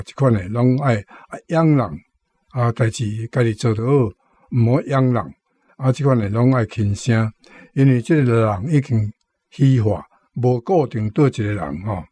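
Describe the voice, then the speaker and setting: Slow, mellow Taiwanese man explaining. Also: mid-range voice, lively intonation, husky, no room sound. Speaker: radio show host. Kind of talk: explanatory commentary on Taiwanese vocabulary.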